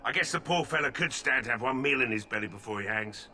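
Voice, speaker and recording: Surly prison guard; sharp, piercing tone, grumbling. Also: male voice, mid-range voice, expressive, fast. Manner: surly, complaining. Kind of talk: video game voice acting.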